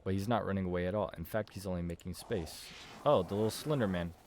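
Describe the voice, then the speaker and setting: A man doing casual let's play commentary, reading visual novel text aloud and thinking out loud. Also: sits low, fast talker, a little hiss or hum. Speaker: video game streamer.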